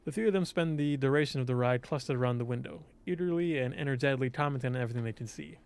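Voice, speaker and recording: A man reading a visual novel aloud as host, in expressive scripted narration. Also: medium pitch, very fast, smooth.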